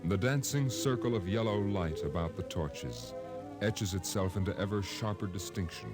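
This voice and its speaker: A man acting as a grave narrator, solemn, deliberate and ominous, in dramatic 1950s radio style. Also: deep voice, animated. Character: grave male storyteller narrating impending doom. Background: dramatic music.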